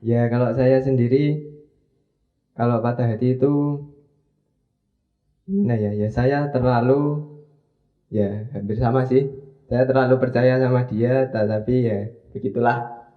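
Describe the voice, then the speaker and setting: A man's voice: mid-range voice, fairly even intonation, unhurried, long pauses. Hesitant teenage guy, phone-like sound. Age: late teens. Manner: hesitant, short replies. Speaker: podcast guest. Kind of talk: casual chat. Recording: phone-like sound with faint music.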